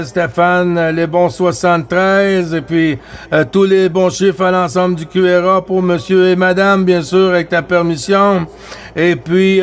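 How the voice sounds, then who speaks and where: A man with a Québécois accent chatting casually over CB radio, relaxed and friendly, repeating phrases with fillers. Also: sits high. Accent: Québécois French. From Quebec. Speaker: CB radio operator.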